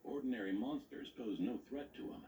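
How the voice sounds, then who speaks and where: An actor playing a seasoned, genial knight in a video game, speaking in formal, dutiful phrases. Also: male voice, medium pitch, brisk, dark tone, natural voice. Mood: concerned. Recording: roomy distant game audio with noise.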